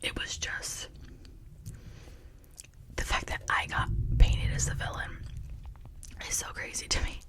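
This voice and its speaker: Breathy whispered ASMR ramble. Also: a little slow, long pauses. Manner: confiding, rambling. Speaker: ASMR video creator.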